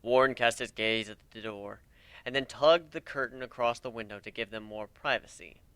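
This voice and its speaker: A man's voice: mid-range voice, moderate pace, a bit bright, clear, resonant tone, no echo. A man narrating a piece of fan fiction as a scripted dramatic reading.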